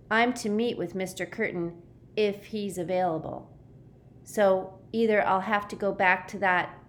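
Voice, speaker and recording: Storybook boy on the edge of breakdown, played by a woman: high, trembling, pleading, with noise behind. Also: natural voice. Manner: shaky, pleading, emotional. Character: frightened, despairing, anxious boy on the verge of breaking down.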